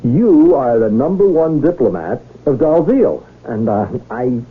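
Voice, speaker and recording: A dull-sounding 1970s radio drama recording. a lonely, earnest rich fellow with a husky, animated voice, nervous and hesitant like someone unused to command. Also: male voice, medium pitch, a little slow. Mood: eager, awed. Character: lonely, naive, nervous rich man, would-be king.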